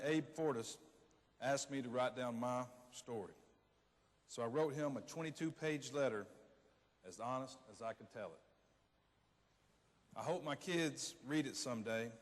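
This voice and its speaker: A man playing an earnest older father, a prisoner recounting his unfair trial in a deliberate stage monologue. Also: mid-range voice, natural voice. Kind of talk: readers theater monologue, reading a letter aloud. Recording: reverberant hall.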